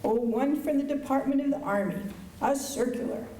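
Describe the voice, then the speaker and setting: Woman playing a coy, high-voiced frontier flirt, echoey. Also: normal speed, warm tone, natural voice. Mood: nosy. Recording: echoey auditorium with slight noise.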